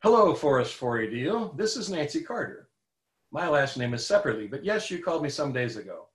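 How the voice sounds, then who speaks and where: Older man quoting an older woman's voicemail, friendly, near his own voice, slightly high, roomy sound. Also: very animated, brisk.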